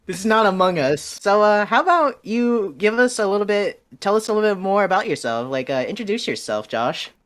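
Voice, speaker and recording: A man casually interviewing a friend, relaxed and joking, rambling with fillers. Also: high voice, a little fast, few pauses. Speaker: guest interviewer, podcast host.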